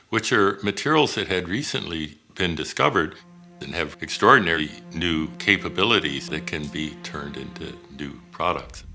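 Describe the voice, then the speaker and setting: A man who runs a research center explains his field in a plain, patient way. His voice sits extremely low, yet the tone is bright and forceful. Faint music plays behind him. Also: low-quality recording. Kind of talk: documentary interview.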